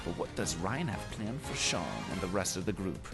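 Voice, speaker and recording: Male narrator, mock-dramatic and very lively, amateur comic reading over clear background music. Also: low voice, moderate pace.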